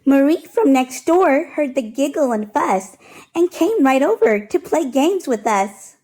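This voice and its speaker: A woman hosting storytime, reading a rhyming picture book aloud in an expressive, rhythmic, sing-song way. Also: high voice, a little slow.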